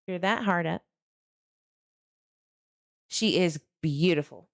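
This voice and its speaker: Casting: female livestream host, low register, casual earnest commentary on true crime. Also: takes it slowly. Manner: conversational, earnest, addresses viewers directly.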